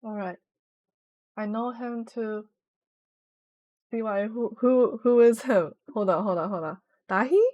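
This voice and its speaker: A woman's voice: a little high, measured pace, pauses often, a little mellow, no room sound, highs cut off. Chatty young woman streamer, rambling. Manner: chatty and rambling, with many "like" fillers. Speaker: Twitch streamer. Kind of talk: casual live-stream chat while gaming.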